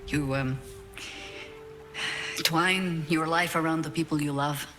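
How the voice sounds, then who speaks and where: Slow-spoken, wry older druid woman. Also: low-pitched, steady tone, over music. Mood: reflective, tender. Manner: measured, deliberate, wry and eloquent. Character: battle-worn older woman druid, wry, proud, grieving. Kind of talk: dramatic video game dialogue.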